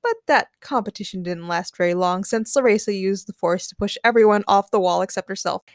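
A woman's voice: mid-range voice, a little fast, dry recording, studio quality. A young woman hosting a solo podcast in an informal, giggly, gushing way, chatting and narrating fan fiction. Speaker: podcast host.

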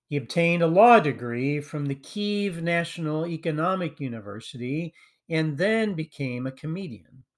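A man hosting a sermon podcast, delivering a prepared message in a measured way that trails off at the end of phrases. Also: a little high, fairly bright tone. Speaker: podcast host and preacher.

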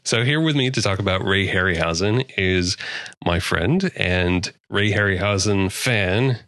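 A man's voice: low-pitched, measured pace, dry recording. A man in his forties hosting a film podcast, chatting casually and laughing at himself. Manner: relaxed, self-deprecating, laughs often. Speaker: podcast host. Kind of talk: casual chat.

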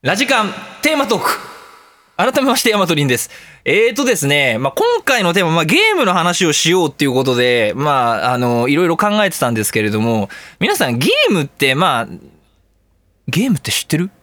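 A man in his thirties hosting a casual, comedic solo radio talk, rambling in an animated, jokey way with exclaimed asides. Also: a little high, fairly quick, no room sound. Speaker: radio show host.

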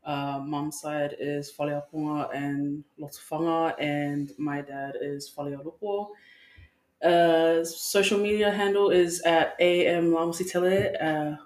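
A woman's voice: low-pitched, steady tone, measured pace, a little mellow, reverberant. A 21-year-old woman guest, hesitant with many ums, playful at times. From New Zealand. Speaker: podcast guest.

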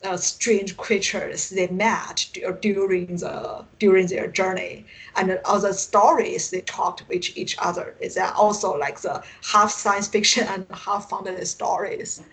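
Halting Chinese-accented woman in conversation. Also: medium pitch, level tone, a bit bright, poor audio. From China. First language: Mandarin Chinese. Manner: hesitant, halting, often chuckling. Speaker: guest author. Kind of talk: casual interview conversation. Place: Skype internet call.

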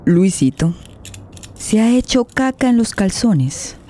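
An actress playing a grave narrator in a tragic radio play, solemn and measured in her descriptions. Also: mid-range voice, sing-song, dark tone. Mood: grave, tense.

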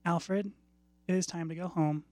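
A woman reading the part of a calm, compassionate female spirit of death in a short story, consoling and gentle. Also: lowish voice, a little slow, no room sound, natural voice.

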